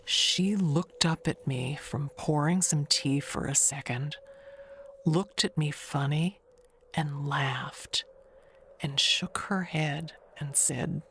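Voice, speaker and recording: A woman's voice: low voice, slow pace, a bit bright, a little hiss or hum, full character voice. A woman playing a chatty older neighbor in an audio mystery, rambling confidingly and correcting herself with a wistful edge. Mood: uneasy.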